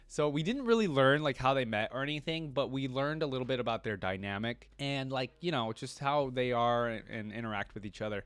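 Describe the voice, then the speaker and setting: A man's voice: mid-range voice, fairly quick, hardly any pauses, fairly bright tone, clean tone, no room sound. A man giving informal, casual fan commentary as a reaction video host. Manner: informal, peppered with "like" and "you know".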